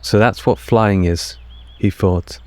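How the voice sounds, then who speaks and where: A man narrating scripted audio fiction in a measured, deliberate storytelling manner with short dramatic phrases. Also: on the low side, steady tone, darkish tone. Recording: music under the narration.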